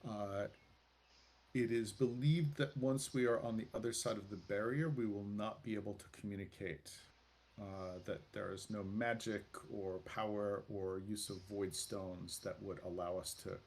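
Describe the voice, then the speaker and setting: A man improvising as game master of a tabletop roleplaying game, speaking in a halting, deliberate way with many pauses. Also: lowish voice, steady tone, darkish tone, a little hiss or hum. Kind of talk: improvised in-character narration.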